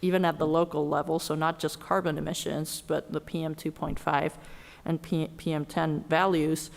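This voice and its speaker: A woman researcher on a panel, explaining findings carefully and thinking aloud as she goes. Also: sits low. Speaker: public health researcher on a panel. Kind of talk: panel discussion. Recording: long reverb of a hall.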